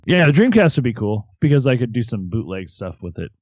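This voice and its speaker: Male co-host, mid-pitched, laid-back rambling talk, dull dry mic. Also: sing-song, fairly quick, a little mellow, no echo. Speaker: podcast co-host. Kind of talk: casual podcast chat.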